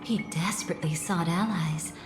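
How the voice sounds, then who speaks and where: A woman's voice: on the low side, moderate pace, natural voice. An actress playing a seductive, mysterious woman in a video game, speaking in a soothing, teasing, theatrical way as a guide through memories. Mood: storytelling, composed. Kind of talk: scripted game voice acting, story narration. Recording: echoey reverberant hall, music behind.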